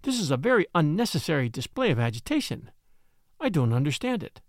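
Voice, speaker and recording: A proud young lady speaks with high-strung indignation, as a man performs her. The voice sits raised and swings widely. The recording is dry and close. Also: very animated, brisk, dry recording. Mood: cool disdain.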